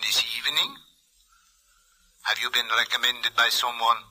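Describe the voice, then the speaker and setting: A ceremonious, aloof fortune teller's doorman of vintage radio drama. His mid-pitched voice is extremely bright and cutting, with a guarded, formal delivery. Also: moderate pace, poor audio. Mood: solemn, guarded. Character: solemn, aloof male attendant of a fortune teller. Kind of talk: 1940s radio acting.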